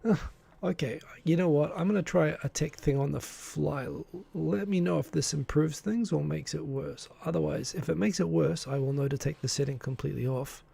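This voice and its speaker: A man's voice: fairly high pitch, normal speed, gravelly, dark tone, slightly rough. A man with an Australian accent hosting a casual live stream, chatting with viewers and joking at his own expense into a desk microphone. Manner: chatty, self-deprecating, greets viewers from chat. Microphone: desk microphone in front of him.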